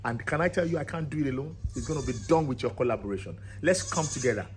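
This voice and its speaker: A man's voice: mid-range voice, animated, quick pace, a little hiss or hum. A man, founder of a cultural channel, giving an impassioned monologue to camera in an emphatic, rousing way. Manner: emphatic and rousing, builds repetitive lists.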